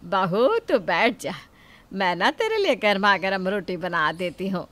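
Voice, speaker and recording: A woman's voice: medium pitch, animated, unhurried, a bit bright. A woman voicing a middle-aged mother-in-law in a moral story, scheming, sugary and theatrically self-pitying. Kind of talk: acted Hindi moral-story dialogue.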